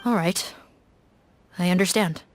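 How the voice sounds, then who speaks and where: Woman voicing a slow, reserved guard. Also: lowish voice, soft background music, natural voice. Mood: hesitant, deferential. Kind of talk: English video game voice acting.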